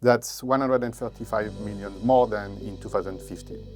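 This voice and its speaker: Low-voiced man, a matter-of-fact economist. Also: steady tone, moderate pace, darkish tone, soft background music. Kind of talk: interview remarks.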